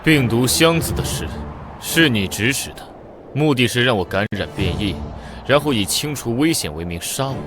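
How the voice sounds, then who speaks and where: An actor voicing a calm, menacing and powerful commander in a motion-comic drama, speaking with cool authority. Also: male voice, mid-range voice, noisy background, lightly acted. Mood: cold, threatening. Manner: cool, commanding, unhurried threats.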